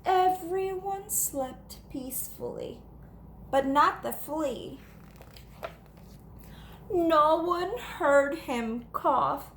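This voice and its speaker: A woman with a fairly high voice reads a story to young children. She takes her time, delivering it rhythmically and dramatically as a teacher would. There is faint background noise on the recording. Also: drawn-out words, long pauses, fairly bright tone. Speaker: teacher reading to second graders. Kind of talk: expressive children's story read-aloud.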